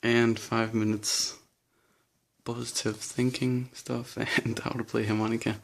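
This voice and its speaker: A man's voice: medium pitch, level tone, measured pace, vocal fry. A young man with a Danish accent, listing books in a casual bookshelf tour, hesitant and a little nervous. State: nervous and shaky. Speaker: vlogger.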